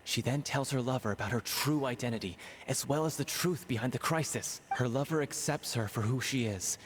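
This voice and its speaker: Casting: man as excitable theatre writer for anime game, mid-pitched, dramatic and expressive storytelling. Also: a little fast, lightly acted. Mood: dramatic narrating. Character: excitable, theatrical male playwright. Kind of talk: anime-style game voice acting. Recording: faint music under the voice.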